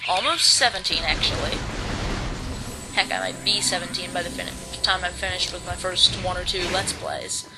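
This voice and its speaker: A sixteen-year-old boy with a high-pitched voice, giving live gameplay commentary in a hesitant, rambling way with many ums and restarts. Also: normal speed, vocal fry, noisy background. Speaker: let's play commentator. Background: video game music.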